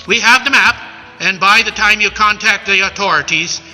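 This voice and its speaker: A man plays a melodramatic, bumbling pirate swindler for a radio comedy. His pitch is high and his tone very sharp and bright. It was recorded in a reverberant hall with music behind. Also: normal speed. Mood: menacing, blustering. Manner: pompous, melodramatic threats, bumbling. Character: blustering villainous pirate swindler with muddled accent. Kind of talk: comic radio play acting.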